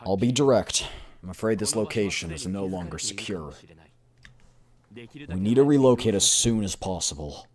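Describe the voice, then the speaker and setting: A man voicing a calm, firm military commander in a video game story. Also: on the low side, moderate pace, pauses often, natural voice. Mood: urgent. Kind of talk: video game character dialogue.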